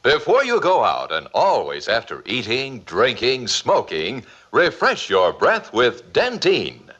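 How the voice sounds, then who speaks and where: Old-time radio advert announcer, man, unhurried, swooping delivery. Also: mid-range voice, huge pitch swings, fairly bright tone, muffled recording. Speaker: commercial announcer. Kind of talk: 1950s radio advertisement read.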